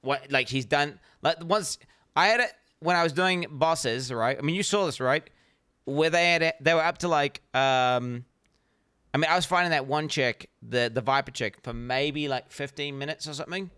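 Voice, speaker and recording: NZ-accented male streamer, profane and rambling, crisp clear tone. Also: on the high side, normal speed, sharp tone, dry recording, clean studio sound. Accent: New Zealand. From New Zealand. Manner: blunt, profane, rambling with many restarts. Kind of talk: casual reaction stream commentary.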